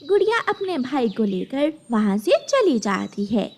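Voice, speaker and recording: Slow, high-pitched woman narrating a children's story. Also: sing-song, darkish tone.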